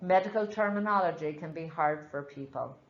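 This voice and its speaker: A woman with an Irish accent lectures a class in a lowish voice, frank and chatty as she explains things through examples. The sound is echoey and a little dull, like a classroom captured on a basic recorder. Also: fairly quick. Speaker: nursing instructor.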